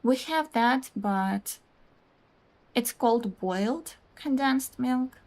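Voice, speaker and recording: A woman's voice: fairly high pitch, animated, slow pace, darkish tone. A young woman whose first language is Russian, chatting casually while streaming a game, rambling with many "like"s, reading out chat messages and laughing often.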